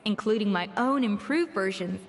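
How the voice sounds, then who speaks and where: A woman's voice: medium pitch, normal speed, in a large hall, a little hiss or hum, lightly acted. A woman playing a proud, exacting young chef, blunt and self-assured, in video game story dialogue. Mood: resolute.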